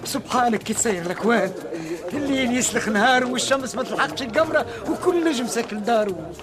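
An actor with a Tunisian accent playing an anxious, devout older vizier who prays with fervent, chanting intensity in a radio drama. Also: male voice, very high voice, lively intonation, quick pace, a loud crowd. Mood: praying.

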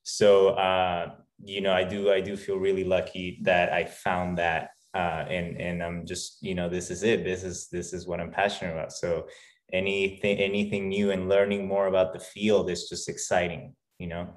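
A man from Peru speaks in a notably low voice, telling his story as a podcast guest. He talks loosely and haltingly, full of "uh" and "you know" and restarted phrases. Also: level tone. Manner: halting, many fillers and restarts. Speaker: guest, fitness trainer. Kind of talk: casual interview talk.

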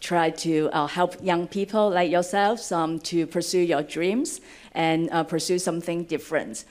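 Panelist: middle-aged Hong Kong woman, slightly low voice, hesitant delivery. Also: steady tone, normal speed, darkish tone. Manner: hesitant, many ums. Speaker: panelist, fund head. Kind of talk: panel discussion, mixing Cantonese and English.

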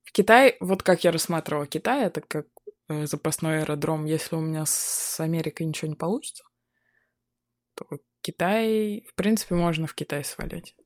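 Low-voiced young woman, wry and casual. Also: fairly quick, no echo, clean studio sound. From Buryatia, Russia. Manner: laughing, ironic, swears casually. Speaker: guest. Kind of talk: casual chat.

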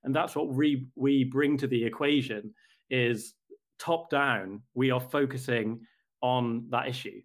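A man answering interview questions about his company, in a measured, thoughtful way with occasional restarts. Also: mid-range voice, steady tone. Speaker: company representative, guest.

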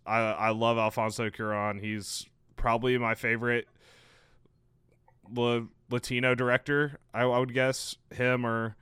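Man, texas accent, podcast co-host, smooth resonant voice, teasing, opinionated. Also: on the low side, a bit bright, no room sound, studio quality. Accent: Texan. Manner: teasing, opinionated about films. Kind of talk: casual chat.

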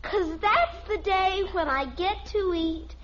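A woman's voice: very high voice, slow. A young female performer playing a stubborn American little girl, singsong and whiny, in an old-time radio sitcom. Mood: singsong reciting. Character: sulky, stubborn littlest daughter reciting poetry. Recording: muffled, noisy old radio recording.

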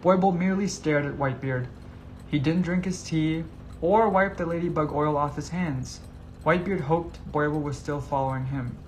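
A man's voice: fairly high pitch, moderate pace, slight background noise, rough recording. A man narrating a scripted whimsical story as a reader.

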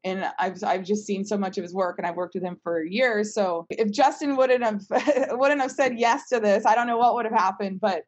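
A woman's voice: mid-range voice, normal speed, hardly any pauses. A woman speaking as a company founder in a casual panel conversation, talkative and emphatic, often checking her points with "right?".

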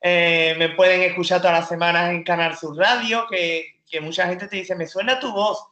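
A man's voice: high voice, moderate pace. Joking guy in a roomy lo-fi room. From Spain. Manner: joking, self-deprecating, animated storyteller. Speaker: podcast guest, comedian. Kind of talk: casual interview chat. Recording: roomy, dull lo-fi sound.

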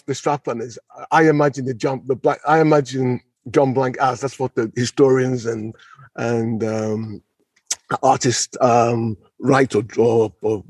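A man's voice: medium pitch, a little mellow, breathy edge, dry recording. A man talking conversationally about history as a podcast guest, enthusiastic and digressive, often repeating phrases for emphasis. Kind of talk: unscripted, conversational history discussion.

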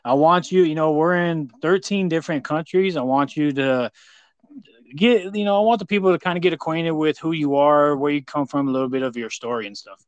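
A man's voice: a little high, a little fast, clean tone, dry recording, muffled recording. An American man hosting a casual interview, talking effusively in a rambling way with many repeats. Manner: effusive praise, rambling, repeats "you know". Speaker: podcast host.

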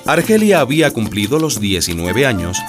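A man's voice: medium pitch, big pitch swings, moderate pace, close to an everyday voice. A man narrating a radio drama as a scripted storyteller. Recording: music bed behind the voice.

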